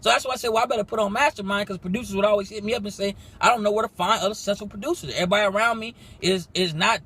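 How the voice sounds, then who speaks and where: A man in his twenties, a music producer giving a casual, profane motivational pitch, possibly recorded in a car. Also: husky. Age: 20s. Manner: animated, profane, rapid slangy talk.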